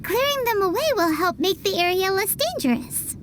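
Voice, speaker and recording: A woman voicing a tiny, excitable female fairy companion in a video game, chatty and eager, referring to herself in the third person. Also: very high-pitched, normal speed, slight background noise. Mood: chirpy, eager. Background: soft game music.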